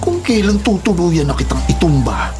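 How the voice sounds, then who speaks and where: A man's voice: high voice, very animated, normal speed. An actor playing a ruthless, gloating Filipino gunman and illegal logger in a radio drama, with a menacing, taunting delivery. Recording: loud dramatic music under voice.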